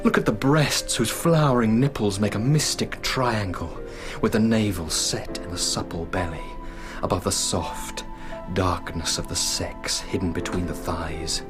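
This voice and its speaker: A man playing an ardent young lover in a literary radio drama, with a fairly low voice over clear background music. He is earnest and poetic, speaking with impassioned, pleading devotion. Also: natural voice.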